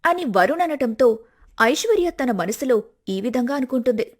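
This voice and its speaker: A woman's voice: high-pitched, expressive, normal speed. A woman narrating a cartoon family story in a plain storytelling way.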